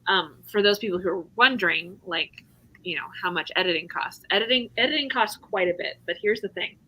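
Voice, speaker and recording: A woman with a bright, forward voice hosting a relaxed live chat. She laughs often and keeps the talk moving, reading out questions from viewers. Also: mid-range voice, fairly quick, rough recording.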